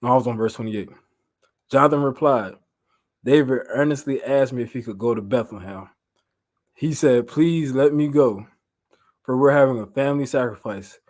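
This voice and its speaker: An American man with a mid-pitched voice leads a Bible lesson as a preacher. He moves between reading aloud and loose, slangy paraphrase. His delivery is informal and conversational, and he repeats phrases for emphasis. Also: normal speed, pauses often, darkish tone.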